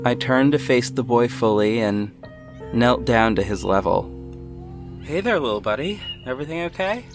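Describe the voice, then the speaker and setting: A man playing a reflective, earnest city hero who narrates an audio drama in the first person, in a measured storytelling way. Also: mid-range voice, animated, over music, lightly acted. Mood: attentive, then gentle, soothing.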